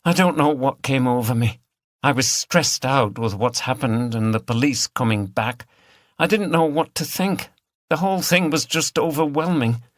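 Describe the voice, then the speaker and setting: A man acts out an anxious, apologetic woman in a mystery audiobook. The voice is lifted in pitch, earnest and emotional, in a very dry recording. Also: moderate pace, dry recording, close to an everyday voice. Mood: shaken, apologetic.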